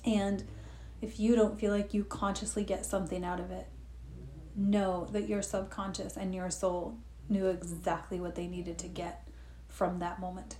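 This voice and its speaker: A woman guiding a relaxed live meditation session, gently talking, with personal asides, sniffly from allergies. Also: mid-range voice, moderate pace. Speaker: meditation guide. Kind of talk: guided meditation talk. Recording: echoey room with faint noise.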